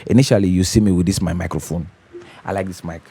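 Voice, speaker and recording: Deep bassy man, mellow tone, easygoing tutorial host, dry home studio. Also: steady tone, fast talker, breathy edge. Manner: easygoing, repeats key points, adds "yeah?" to check in. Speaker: host of a home recording channel. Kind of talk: casual tutorial talk to camera. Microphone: studio condenser microphone.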